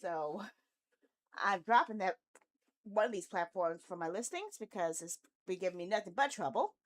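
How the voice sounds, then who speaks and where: A woman with a medium-pitched voice talks casually as a game streamer to viewers. Her delivery is rambling and candid, with a stubborn, grumbling streak. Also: animated, moderate pace. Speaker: video game livestreamer, host. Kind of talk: casual livestream chat.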